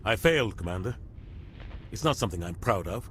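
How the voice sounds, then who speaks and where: An actor playing a weary, dutiful middle-aged military captain in a video game, speaking gravely and earnestly. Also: male voice, lowish voice, expressive, a little fast, fairly bright tone, a little hiss or hum. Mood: regretful, resigned. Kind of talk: video game dialogue acting.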